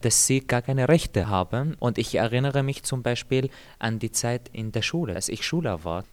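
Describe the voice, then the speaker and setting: A young man from Syria whose first language is Arabic, calmly telling his own story in an interview, thoughtful and measured with small pauses. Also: lowish voice. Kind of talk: calm personal account.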